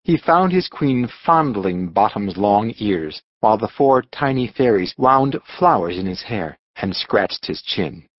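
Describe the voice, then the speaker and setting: A man narrating a storybook as an audiobook reader, in a dramatic, expressive scripted style. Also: lowish voice, measured pace, hardly any pauses, no echo, lo-fi sound.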